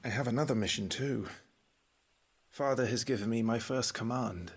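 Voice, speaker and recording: An actor playing a dutiful young noble knight in a video game, with a British accent and an earnest, restrained manner. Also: male voice, medium pitch, moderate pace, slight background noise, close to an everyday voice. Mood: grave, restrained. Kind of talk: video game cutscene acting.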